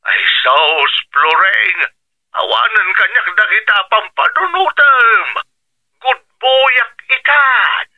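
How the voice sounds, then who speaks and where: An actor playing an easygoing, teasing rural husband in a radio drama, with an Ilocano accent. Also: male voice, sits high, expressive, slow, heavily acted. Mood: teasing, reassuring. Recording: slightly dull, lo-fi sound.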